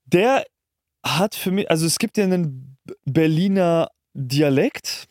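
A man's voice: fairly high pitch, lively intonation, a little slow, darkish tone, no room sound, clean studio sound. A young man chatting casually as a podcast co-host, in colloquial, slangy youth speech. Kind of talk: casual chat.

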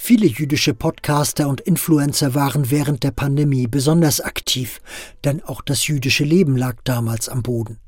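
A man narrating a radio news feature in a measured, scripted reporting style. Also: on the high side, breathy edge, dry recording, studio quality. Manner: measured, even reporting delivery.

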